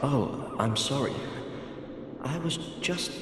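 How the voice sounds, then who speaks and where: Dreamy, halting fantasy man in heavy echo. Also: mid-range voice, lively intonation. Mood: dazed, apologetic. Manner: halting, distracted, apologetic. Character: dreamy, absent-minded man in a fantasy world. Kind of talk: dark fantasy video game dialogue. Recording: very echoey, long reverb, loud background noise.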